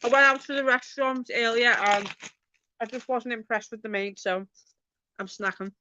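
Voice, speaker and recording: A woman's voice: a little high, moderate pace, muffled recording, noisy background. A woman with a Liverpool accent chatting casually to her viewers as a channel host, informal and sweary, addressing them by name. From Liverpool, England. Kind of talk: casual livestream chat with viewers.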